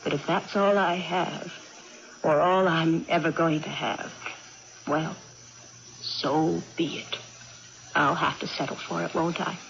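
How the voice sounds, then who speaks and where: An aging aunt in an old radio drama, practical and musing. She speaks slowly and deliberately, through a dull broadcast recording with some hiss and noise. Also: sits low, steady tone, gravelly, heavily acted. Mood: musing, resigned. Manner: deliberate, musing, matter-of-fact with pauses. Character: contented, practical, aging aunt with a lost memory. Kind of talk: 1980s radio drama acting.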